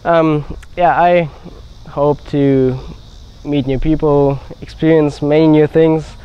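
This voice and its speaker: A fifteen-year-old boy from Germany, an exchange student, answering interview questions in a relaxed way with fillers. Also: on the high side, takes it slowly, lo-fi sound, slight background noise. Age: teens.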